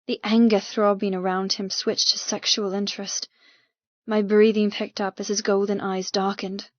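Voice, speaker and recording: Female audiobook narrator, mid-pitched, expressive and deliberate with dramatic intensity; close, dry, slightly muffled recording. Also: no echo. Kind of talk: dramatic first-person fiction narration. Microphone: close mic in dry room.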